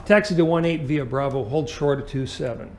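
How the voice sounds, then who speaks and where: A man's voice: medium pitch, fairly quick, darkish tone, slight background noise. A man teaching an aviation lesson as a flight instructor, explaining things and checking understanding with short questions.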